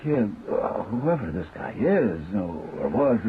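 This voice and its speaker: A man's voice: lowish voice, big pitch swings, measured pace. A man playing a shifty, cornered villain in a radio drama, stammering and evasive. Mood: nervous, evasive. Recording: tinny radio recording with faint noise.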